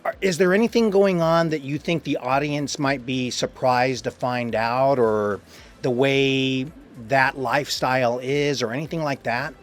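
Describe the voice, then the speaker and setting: A man's voice: medium pitch, unhurried, soft background music. A man hosting a casual interview. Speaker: interviewer, host.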